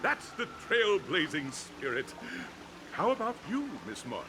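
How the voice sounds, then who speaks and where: Flamboyant festival host, wildly swooping voice. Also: male voice, high voice, wildly swooping pitch, a little slow, background noise, full character voice. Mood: exuberant. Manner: grandiose, effusive showman. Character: flamboyant male festival host and drink tycoon. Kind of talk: theatrical game-show announcing.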